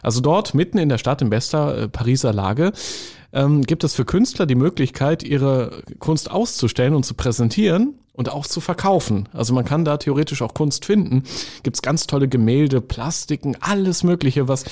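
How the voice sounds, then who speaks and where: Male podcast host, middle pitch, chatty and enthusiastic. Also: lively intonation, moderate pace, dark tone. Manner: chatty, enthusiastic, frequent fillers. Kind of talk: casual podcast chat.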